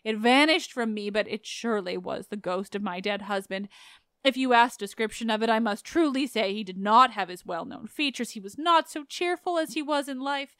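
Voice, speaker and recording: A woman's voice: on the high side, fairly even intonation, measured pace, few pauses, smooth, no echo, studio quality, natural voice. A woman voicing a grieving young wife who speaks in a raised, lamenting voice. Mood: grief-stricken.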